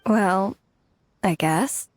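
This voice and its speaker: A woman playing a wistful, gentle, self-doubting young woman in a video game. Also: mid-range voice, very slow, no room sound. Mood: reflective. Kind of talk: English game voice acting.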